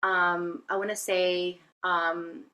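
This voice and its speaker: A woman's voice: on the low side, flat delivery, takes it slowly, bright, forceful tone. A woman from Venezuela, a guest telling her life story in an interview, hesitant with many fillers and restarts. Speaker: guest, spiritual healer. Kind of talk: reflective personal interview talk.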